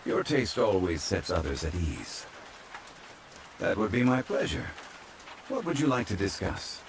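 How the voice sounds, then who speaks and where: A low, deep male voice over steady rain , a man acting out an ancient, immortal gentleman from a fantasy game. He is calm, courteous and old-fashioned, with formal, measured phrasing meant for relaxing ASMR. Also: gravelly, a little hiss or hum, exaggerated voice. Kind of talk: soft ASMR roleplay, fantasy game character. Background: rain falling.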